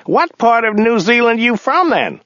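A dim, relentlessly chatty limousine driver. The voice is high, whiny and nasal, with big swoops in pitch. He is slow on the uptake and keeps prodding, in comic storybook style. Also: very animated, a bit bright, dry recording, dull audio, heavily acted. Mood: insistent, clueless.

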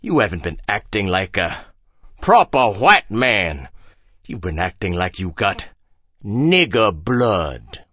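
A male audiobook narrator voicing a stern, manipulative ship captain who speaks quietly and measured. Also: on the low side, very animated, breathy edge, no echo, clean studio sound, heavily acted. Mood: scolding. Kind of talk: audiobook character voice.

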